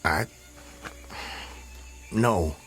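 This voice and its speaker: Casting: courteous, wary runaway in audio drama; lowish voice, formal, hesitant, unsure of himself. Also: male voice, very slow, a bit bright, breathy edge, full character voice. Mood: confused. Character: bewildered, wary, courteous man on the run. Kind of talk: radio drama acting.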